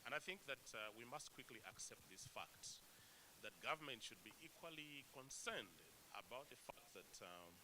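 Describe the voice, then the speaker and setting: A man from Zambia speaks up at a meeting, earnest and emphatic, his pitch swinging widely as he presses his point with rhetorical questions and frequent hesitations. The room mic carries a clear steady hiss under his voice. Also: normal speed. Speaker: conference delegate. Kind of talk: impassioned floor remarks at a regional meeting.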